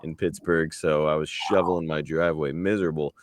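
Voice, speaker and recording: A man's voice: rumbling low, steady tone, brisk, no echo. Easygoing podcast host man, dry close sound. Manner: upbeat, easygoing interviewer. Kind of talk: casual podcast chat.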